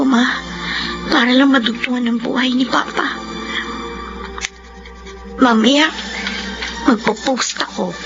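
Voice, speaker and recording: Earnest, high-voiced young daughter. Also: female voice. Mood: determined. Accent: Filipino. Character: earnest young daughter desperate to save her father. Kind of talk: Filipino radio drama acting. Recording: muffled radio drama, very noisy background.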